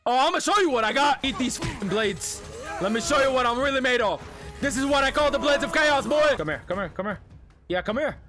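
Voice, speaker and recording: A man reacts to a video game in a very high-pitched, wildly swinging voice. He is excitable, repeats short phrases over and over and tends to shout. Loud music plays behind him. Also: a little fast. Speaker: game streamer and video creator. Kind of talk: excited gameplay reaction commentary. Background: video game music and sound.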